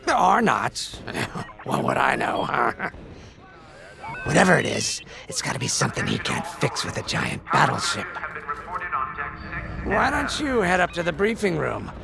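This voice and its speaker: A man playing a chatty, gossipy rebel pilot in a space game, talking informally and conspiratorially with a gravelly voice. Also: a little high, big pitch swings, a little slow, exaggerated voice. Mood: conspiratorial, curious. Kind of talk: casual in-game character monologue.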